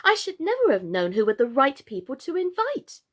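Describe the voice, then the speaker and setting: A woman's voice: sits high, huge pitch swings, moderate pace, voice pushed up. A woman voicing a polite, slightly anxious young girl who speaks hesitantly.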